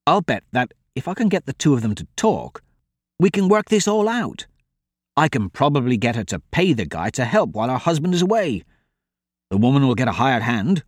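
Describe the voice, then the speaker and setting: A man's voice: mid-range voice, dry recording, studio quality. A man voicing a good-natured, optimistic adventurer in a fantasy audiobook, earnest and persuasive.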